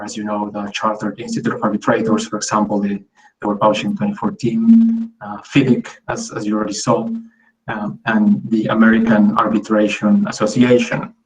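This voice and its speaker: A man's voice: lowish voice, distant mic, poor audio. A man from Peru giving an online academic talk in English, hesitant with many fillers and restarts. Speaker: guest lecturer.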